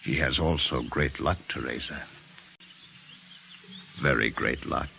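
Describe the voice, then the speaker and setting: An actor playing a lovestruck, earnest American man of thirty-six in an old-time radio drama, speaking in a measured, dramatic way. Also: very deep voice, creaky, bright, forceful tone, a little hiss or hum, exaggerated voice. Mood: dejected. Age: 30s. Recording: dull old radio recording, music behind.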